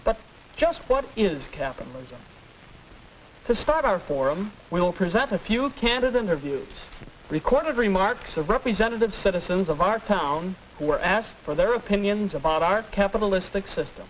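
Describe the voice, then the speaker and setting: An American man playing a formal young high school forum moderator, announcing in measured 1940s radio style. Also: animated, rough recording. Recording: hissy old film soundtrack.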